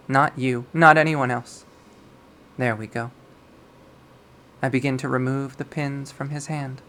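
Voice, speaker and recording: A reader voicing an obsessive, possessive young woman who collects insects, narrating in a measured, wistful, coldly sadistic way for a visual novel. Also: medium pitch, a bit bright, a little hiss or hum. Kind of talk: dramatic visual novel readthrough.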